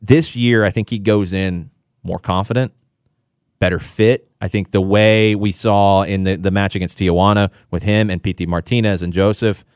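A man's voice: fairly low pitch, steady tone, normal speed, clean tone, no room sound, studio quality. A man analyzing soccer as a guest on a radio sports talk show, explaining tactics confidently.

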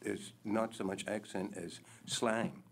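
An older man with a Scottish accent explaining things deliberately in a casual chat, the host's father. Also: fairly low pitch, flat delivery, vocal fry. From Scotland.

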